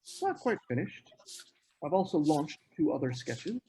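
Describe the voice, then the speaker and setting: A man playing a brooding, bitter novelist in a screenplay table read, with measured, deliberate phrasing. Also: on the high side, big pitch swings, dark tone, slight background noise, rough recording. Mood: cool, deliberate. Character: brooding male novelist, cool and bitter in marriage.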